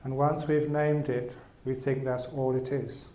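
A man giving a Buddhist teaching as a monk, speaking in short, unhurried phrases with pauses between them. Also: medium pitch, little pitch movement, mellow. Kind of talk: dharma talk with an interpreter. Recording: roomy echo.

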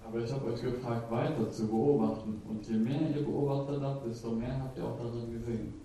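A man's voice: mid-range voice, steady tone, fairly quick, dark tone, slight background noise. A man interpreting a teaching live, phrase by phrase, sometimes hesitating with filler sounds. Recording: very echoey, from a distance.

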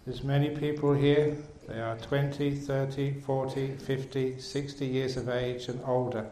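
A male monk teaching in calm, measured phrases with pauses between them. His voice is mellow and dark, and the room adds a clear echo. There is a faint hum of ambience behind him. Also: medium pitch. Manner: measured and unhurried, pausing in short phrases. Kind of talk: dharma talk with an interpreter. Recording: roomy echo.

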